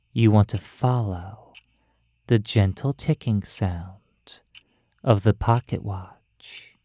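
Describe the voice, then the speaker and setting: Very slow, soothing hypnotist voice, mellow. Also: mid-range voice, no room sound. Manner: soothing, measured, deliberate pauses. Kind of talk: slow hypnosis sleep induction, guided imagery. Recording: faint ticking clicks behind dry close voice. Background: ticking pocket watch.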